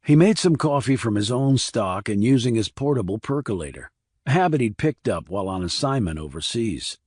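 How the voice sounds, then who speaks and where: A man reading a scripted crime thriller as an audiobook narrator, measured and restrained. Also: mid-range voice, expressive, a little mellow, husky, no room sound, studio quality.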